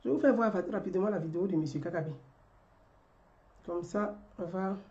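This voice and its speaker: A woman's voice: lowish voice, fairly quick, warm tone, muffled recording. A woman, delivering a rhetorical political commentary and repeating her questions. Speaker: commentary vlogger.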